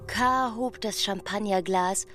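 A female narrator delivers scripted literary prose at an unhurried pace. She pauses between short phrases, at a medium pitch. Strong music runs under the whole voice. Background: prominent music.

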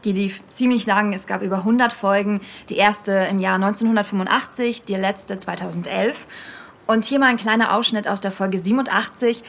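A woman's voice: medium pitch, steady tone, brisk, gravelly, a bit bright. A woman playing an earnest young podcaster, narrating a fiction podcast in a confiding, conversational way straight to her listeners. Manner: confiding, addressing listeners directly. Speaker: podcast host.

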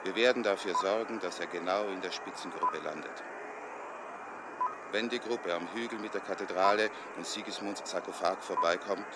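Guy acting confident schemer laying out a plan, deep voice, radio play, lots of outside noise. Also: moderate pace, lightly acted. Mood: assured, businesslike. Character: confident male schemer briefing agents on a covert plan. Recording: loud outdoor traffic-like noise.

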